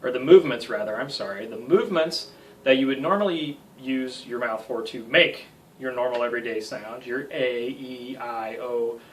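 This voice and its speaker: A man's voice: medium pitch, moderate pace, fairly bright tone, distant mic, slight background noise, rough recording. An American man in his thirties giving a casual guitar tutorial with self-deprecating, joking asides. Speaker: guitar instructor. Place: small home music room.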